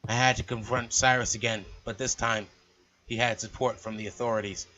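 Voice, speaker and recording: A man's voice: mid-range voice, steady tone, brisk, muffled recording. A man hosting a let's play, reading the game's narration aloud and dropping in casual, joking asides. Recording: game music behind the voice. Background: game music.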